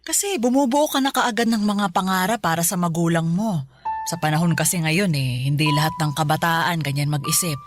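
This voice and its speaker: A woman acting as a kindly middle-aged Filipino mother in a radio drama. Her voice sits fairly low, and she speaks gently and reflectively, reassuring as she goes, over faint music. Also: a little fast, natural voice. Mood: warm, curious.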